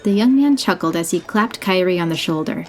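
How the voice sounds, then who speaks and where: A woman's voice: fairly low pitch, lively intonation, brisk, a little mellow. A woman reading scripted narration for a fantasy audio story.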